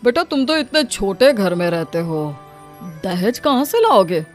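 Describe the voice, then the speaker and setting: A woman's voice: mid-range voice, big pitch swings, unhurried, faint music behind. Woman as a condescending, greedy in-law. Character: greedy prospective in-law parent demanding dowry. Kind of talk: cartoon story character voice.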